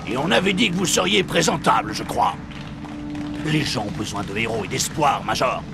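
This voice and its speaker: Wry gravelly military sergeant. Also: male voice, medium pitch, lively intonation, moderate pace. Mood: wry, coaxing. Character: wry, confident male military sergeant, teasing. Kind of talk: French video game dubbing. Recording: loud music under the dialogue.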